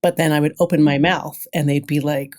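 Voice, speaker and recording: A woman's voice: low voice, brisk. A woman speaking candidly and casually as a podcast guest, telling stories and acting out what people say. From grew up in London, then Brooklyn. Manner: candid, animated storytelling, acts out quoted remarks, often chuckles. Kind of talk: casual interview conversation.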